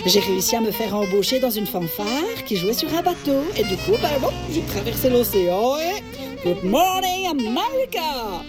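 A woman voicing a chatty, boastful female saxophone in a cartoon, animated and full of exclamations. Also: a little high, moderate pace. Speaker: talking instrument telling her history. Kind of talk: cartoon voice acting. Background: background music.

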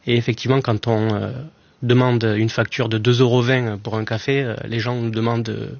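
A man's voice: mid-range voice, level tone, a little fast, creaky, dry recording, highs cut off. Hesitant southern French man, dry radio sound. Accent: southern French, Aveyron. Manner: hesitant, many fillers. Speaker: researcher guest, former café waiter. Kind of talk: radio interview.

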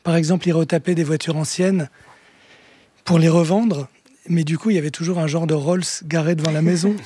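A man with a soft voice, a guest on a radio show, telling candid stories about his past. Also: on the high side, fairly even intonation, fairly quick, slight background noise. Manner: candid, storytelling. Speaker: guest musician and author. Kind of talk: radio interview.

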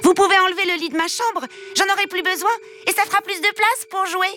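A woman putting on a spoiled little boy prince from a children's storybook, shrill and bossy, with a voice pitched far above a woman's normal range. He sounds sharp, demanding and impatient. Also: steady tone, fast talker, slight background noise. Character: capricious, tantrum-prone little boy prince. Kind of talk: storybook character voice.

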